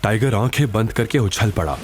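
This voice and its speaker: Animated male dramatic story narrator. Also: on the low side, normal speed. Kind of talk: dramatic scripted Hindi audio story narration. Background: faint background music.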